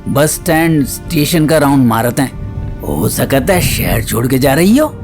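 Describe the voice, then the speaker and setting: A male actor voices a scheming small-town sidekick. His mid-pitched voice is cocky, coarse and colloquial. Faint music plays behind the drama. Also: big pitch swings, unhurried. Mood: cynical, practical. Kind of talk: Hindi audio drama acting. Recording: music bed under the voice.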